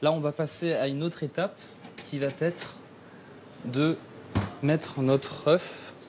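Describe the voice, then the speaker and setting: A man, a chef explaining his work haltingly in an interview. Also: a little high, steady tone, noisy background. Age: 30s. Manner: halting, searching for words. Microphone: lapel mic.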